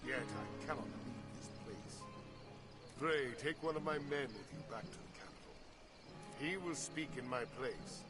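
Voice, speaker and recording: A man's voice: mid-range voice, expressive, natural voice. A man acting a formal medieval fantasy officer. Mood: grave, formal. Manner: formal archaic phrasing, measured. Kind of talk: scripted fantasy game dialogue. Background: game music.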